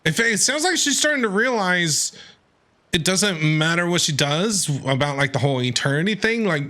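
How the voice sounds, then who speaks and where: A man doing casual live reaction commentary as a game streamer, excitable, repeating phrases and talking back to characters. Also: high voice, moderate pace. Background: game music.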